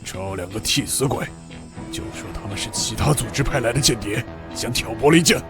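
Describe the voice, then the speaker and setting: An actor voicing a scheming, self-preserving city military commander in a motion-comic drama, emphatic and officious. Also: male voice, mid-range voice, brisk, over music, natural voice. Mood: grudging, scheming.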